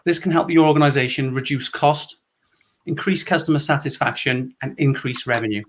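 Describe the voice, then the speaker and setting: A man's voice: medium pitch, brisk, fairly bright tone. A middle-aged man from Wales presenting a structured conference session on customer service, explaining points step by step. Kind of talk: presentation talk.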